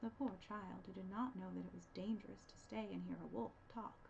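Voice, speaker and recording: A woman reading scripted storybook narration as a librarian storyteller, with an expressive delivery. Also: mid-range voice, brisk, gravelly. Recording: dull, slightly noisy recording.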